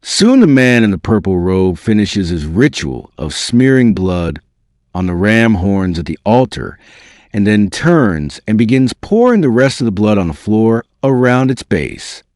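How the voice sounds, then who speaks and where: Low-voiced man narrating with lively, swooping pitch. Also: unhurried, no room sound, studio quality. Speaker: narrator reading a book excerpt. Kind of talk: dramatic scripted audiobook narration.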